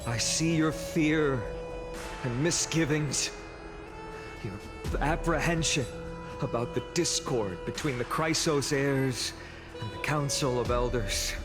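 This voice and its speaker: A man's voice: medium pitch, slow pace, lightly acted. A young man playing an earnest, humble village hero in a video game, speaking sincerely and politely. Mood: solemn, earnest. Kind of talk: scripted game voice acting. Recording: music behind the voice.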